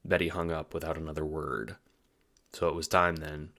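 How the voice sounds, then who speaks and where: A man's voice: a bass voice, little pitch movement, moderate pace, pushed and bright. A man reading scripted story narration for an audio drama, as its narrator.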